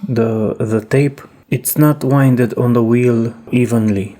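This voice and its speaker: A man narrating a hands-on repair in a casual, halting way, thinking aloud as he works. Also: medium pitch, steady tone, mellow. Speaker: host demonstrating a cassette repair.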